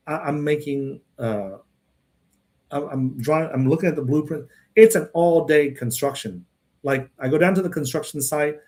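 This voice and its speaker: A man's voice: on the high side, darkish tone. A man who grew up in Texas, talking casually in a rambling monologue and answering viewers' questions, often repeating words and tagging his sentences with "right?" and "okay?".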